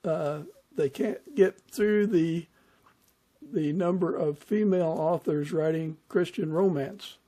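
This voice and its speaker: A man's voice: a little high, slow pace, warm tone. An older man hosting a podcast, chatting casually as he interviews a guest. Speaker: podcast host.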